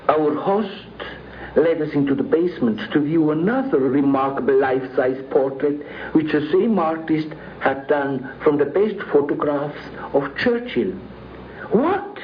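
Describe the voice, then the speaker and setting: High-voiced man on muffled old radio. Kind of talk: prepared anecdotal reminiscence. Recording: muffled 1950s radio recording, faint noise.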